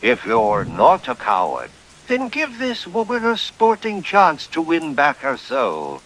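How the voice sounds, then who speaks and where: A man's voice: on the high side, huge pitch swings, slow, fairly bright tone, full character voice, low-quality recording. A man playing a genteel, droll lord who also narrates a horror-comedy radio play in a courtly, theatrical manner. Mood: challenging.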